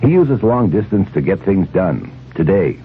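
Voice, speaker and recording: A man's voice: low-pitched, very animated, normal speed. A man reading scripted narration as the announcer of a vintage radio commercial. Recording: narrow, lightly noisy vintage radio ad.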